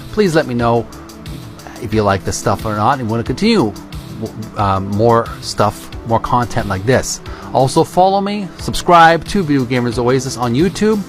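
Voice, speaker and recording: A man with a mid-pitched voice hosts an informal read-aloud. He moves between reading and descriptive commentary, often building sentences in halting pieces. Soft music plays behind him. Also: lively intonation. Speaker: channel host reading and commenting on a book.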